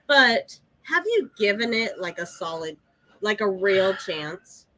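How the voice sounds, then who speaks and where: A woman's voice: medium pitch, animated, takes it slowly, sharp tone, no room sound, rough recording. A woman co-hosting a casual live podcast chat, congested with sniffles, teasing her co-host and reading out comments.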